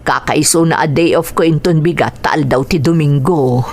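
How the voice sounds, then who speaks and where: Casting: weary, loving mother, radio drama. Low register, gentle, apologetic. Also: normal speed. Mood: weary, patient. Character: patient, sorrowful working mother comforting her child.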